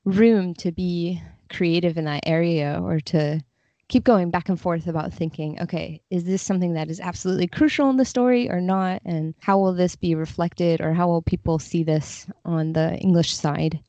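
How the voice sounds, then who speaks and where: A woman's voice: on the low side, steady tone, moderate pace, warm tone, no echo, highs cut off. A woman talking casually and thoughtfully as a guest translator in a podcast interview, explaining her views.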